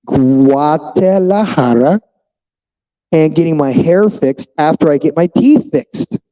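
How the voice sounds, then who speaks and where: A man's voice: a little high, warm tone, smooth, highs cut off. A fifty-year-old man talking bluntly and profanely to his viewers in a casual vlog monologue. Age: 50s. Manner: talks straight to viewers. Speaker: vlogger.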